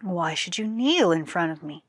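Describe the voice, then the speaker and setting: A woman's voice: fairly low pitch, animated, normal speed, dry recording. A woman voicing a stern, righteous male government minister in a folktale, scolding formally with indignation. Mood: feigned ignorance.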